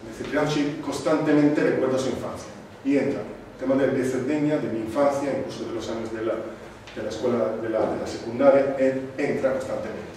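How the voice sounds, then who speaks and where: Man, film talk at a club screening, halting and thoughtful, distant mic, background noise. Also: mid-range voice, fairly even intonation, a little mellow. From Sardinia. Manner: thoughtful, with many pauses and restarts. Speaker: film presenter. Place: cinema club screening room. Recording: echoey room, distant mic, noisy.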